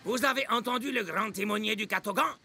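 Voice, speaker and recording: An actor playing an earnest, excitable young soccer player in a cartoon dub, eager and emphatic. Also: male voice, sits high, fast talker, over music. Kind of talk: French cartoon dub acting.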